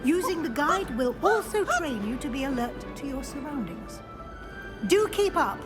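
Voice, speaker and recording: An actress playing a brisk, stern but encouraging witch professor in a video game, speaking formally and instructively over music. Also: high-pitched, sing-song. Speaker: professor guiding a new student. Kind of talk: video game character dialogue. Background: background music.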